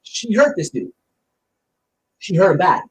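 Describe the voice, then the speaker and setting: A man's voice: high voice, big pitch swings, a little slow. A man giving blunt, casual, opinionated commentary, emphatic and repeating his phrases as he explains with examples. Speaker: online streamer giving commentary. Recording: roomy, distant mic with faint noise.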